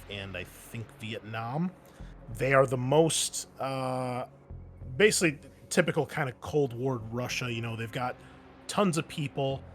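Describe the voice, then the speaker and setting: A man speaking informally and conversationally as game master, narrating and refereeing a tabletop roleplaying game. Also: mid-range voice.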